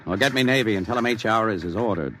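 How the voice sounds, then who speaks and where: An actor playing a gruff, impatient male army general who barks orders, in a 1950s radio drama. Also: fairly low pitch, fast, a bit bright, slight background noise, close to an everyday voice. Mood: brusque. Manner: brusque, barking orders.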